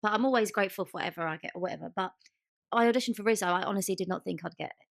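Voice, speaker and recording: A woman's voice: mid-range voice, racing, vocal fry, no room sound. Candid young woman, very dry podcast sound. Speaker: podcast co-host. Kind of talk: casual chat.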